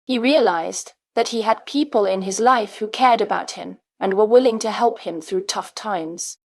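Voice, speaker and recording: A woman presents scripted question-and-answer narration for language learners. Her pitch is average and the sound is dry and free of room echo. She reads in a measured, articulate manner. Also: vocal fry.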